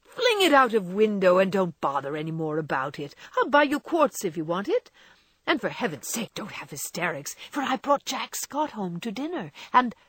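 An anxious young husband, tender but flustered, hurrying his words as he coaxes his wife, voiced by a woman narrating. Her breathy tone rises and falls widely, recorded close and dry. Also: fairly low pitch, sing-song, normal speed, fairly bright tone, dry recording, full character voice.

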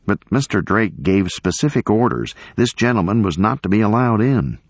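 A man's voice: fairly low pitch, animated, moderate pace, dry recording, pitched above the performer's own voice. A man voicing a courteous but firm male security guard in an audiobook, formal and following orders. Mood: polite, firm.

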